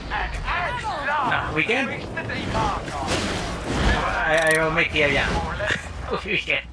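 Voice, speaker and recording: An excitable player narrates their own game aloud, with a lively voice that jumps around in pitch. The recording carries loud, steady background noise under the voice. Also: drawn-out words. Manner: excitable, reacts out loud to the game, laughs often. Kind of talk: casual voice chat while gaming.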